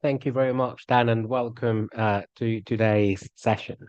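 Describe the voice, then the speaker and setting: A man's voice: mid-range voice, steady tone, normal speed, a little mellow, clean tone, dry recording. A man moderating an online webinar panel, speaking hesitantly with many fillers. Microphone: video call. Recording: dull, dry video call audio.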